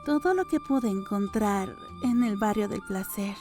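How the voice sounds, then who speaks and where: A woman's voice: medium pitch, animated, a little slow, dark tone, natural voice. A woman voicing a serious, matter-of-fact young apothecary woman in an audiobook, earnest, blunt and deferential. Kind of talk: audiobook character dialogue. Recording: music under the voice.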